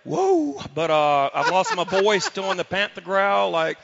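A man guesting on a talk show, hoarse from losing his voice, speaking haltingly with many fillers. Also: high-pitched, big pitch swings, background noise. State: hoarse from lost voice. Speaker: studio guest. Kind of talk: casual talk show chat. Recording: dull lo-fi sound.

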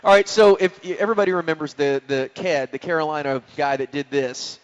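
A man's voice: a little high, a little fast, long reverb tail, lo-fi sound. A male talk show host joking and laughing with his co-hosts. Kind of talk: casual banter with co-hosts.

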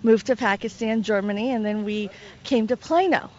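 A woman's voice: on the high side, normal speed, a little mellow. A woman in her thirties who grew up in Afghanistan and speaks Farsi natively, a TV reporter answering questions with easy humor. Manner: good-humored, laughs easily. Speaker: TV news reporter. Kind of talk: casual interview answers. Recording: dull lo-fi audio, faint ambience.

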